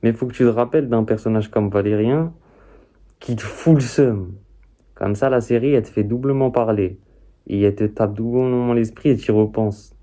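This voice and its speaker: A man's voice: lowish voice, normal speed, dark tone. A man giving casual, slangy commentary as a reaction streamer, addressing the viewer directly.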